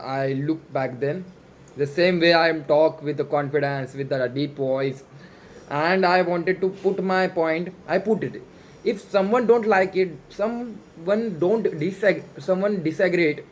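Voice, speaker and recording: A man with an Indian accent, a native Marathi speaker, gives an unscripted motivational monologue in halting, repetitive non-native English. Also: a little high. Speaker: self-improvement challenge vlogger.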